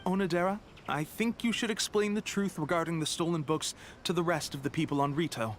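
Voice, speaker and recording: Actor as male game official, formal, firm, measured, fairly high pitch, faint noise. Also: natural voice. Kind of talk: anime-style video game dialogue.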